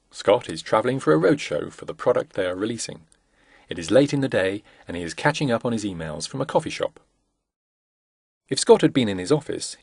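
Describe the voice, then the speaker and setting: A man with a lowish, gravelly voice narrates a corporate product demo. His delivery is measured and instructional but moves through lively rises and falls in pitch. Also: dry recording, clean studio sound.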